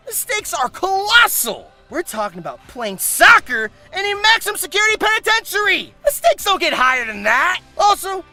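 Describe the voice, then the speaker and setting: High, bright, theatrical male review host. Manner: theatrical, exaggerated emphasis. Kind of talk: scripted comedic review narration.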